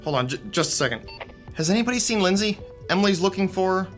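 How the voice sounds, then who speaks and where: A man's voice: sits high, animated, fast, soft background music. A man playing a wry, practical theater crew member in an audio drama, with a dry, teasing manner.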